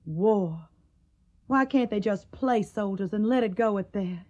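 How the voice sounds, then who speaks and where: A woman's voice: mid-range voice, measured pace, darkish tone, muffled recording, close to an everyday voice. An actress playing a tender, troubled and stubborn young Tennessee woman in a Civil War radio drama, speaking earnest, wistful, folksy lines with a Southern accent.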